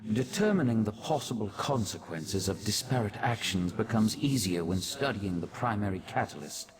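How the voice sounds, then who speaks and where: Low-voiced, calculating ancient sage. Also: male voice. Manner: measured, analytical, detached. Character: calm, calculating ancient male sage, aloof and cerebral. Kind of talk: fantasy video game dialogue.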